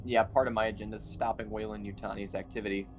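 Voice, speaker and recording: A man's voice: fairly low pitch, flat delivery, fast, background noise. A man chatting casually as a player in a tabletop roleplaying game. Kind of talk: casual chat.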